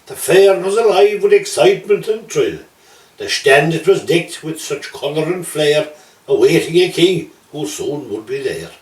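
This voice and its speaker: A man's voice: fairly high pitch, lively intonation, a little slow. A man giving a theatrical, lilting storybook reading of rhyming comic verse. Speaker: poetry reader. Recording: roomy echo, distant from mic.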